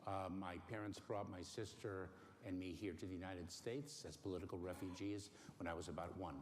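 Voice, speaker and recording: A middle-aged man born in Cuba, giving careful, halting interview answers with many pauses and fillers. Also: low-pitched, steady tone. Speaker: interview guest. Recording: faint noise in a long-reverb room.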